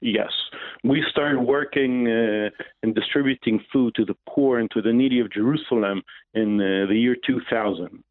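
A man in his forties from Israel with an Israeli accent, a radio interview guest answering questions in a halting way, with frequent pauses and fillers. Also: medium pitch, fairly even intonation, no echo. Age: 40s. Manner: hesitant, many pauses and "uh" fillers. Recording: tinny phone line.

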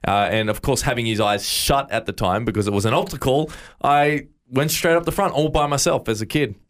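A man's voice: medium pitch, fairly quick. Australian man, interview guest, earnest, stammering restarts, mid-range voice. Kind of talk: interview, personal testimony.

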